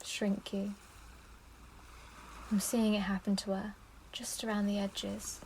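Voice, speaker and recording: A woman's voice: mid-range voice, little pitch movement, a little mellow, a little hiss or hum, poor audio. An actress playing an anxious, protective mother, confiding and reflective in a naturalistic audio play. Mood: tender, worried. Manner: confiding, unhurried.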